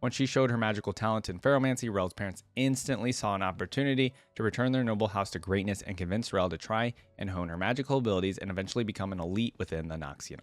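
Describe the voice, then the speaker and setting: A man with a fairly low voice talks very quickly in a close, dry recording. He hosts a reaction video, moving between reading text aloud and casual, conversational commentary of his own. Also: no room sound. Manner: informal, conversational asides.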